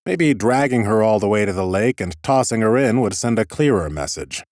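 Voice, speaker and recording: An actor playing a haughty, dry-witted scholarly lich in an audiobook, with a pompous, formal, deadpan manner. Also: male voice, on the low side, normal speed, no room sound, close to an everyday voice. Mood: dry, measured. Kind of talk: scripted first-person audiobook narration and dialogue.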